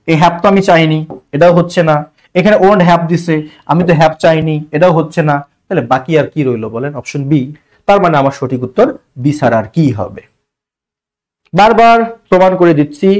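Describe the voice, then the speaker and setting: A man's voice: on the high side, a little mellow. A man with a Bangladeshi accent teaching an exam-prep lesson in a colloquial way, asking rhetorical questions and repeating key phrases. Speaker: online English grammar teacher.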